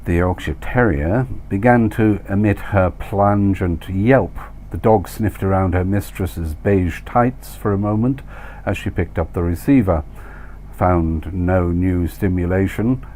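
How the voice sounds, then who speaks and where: A man reading his own short story as scripted literary narration, measured and wry, with dramatic emphasis. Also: low voice, a little mellow, a little hiss or hum.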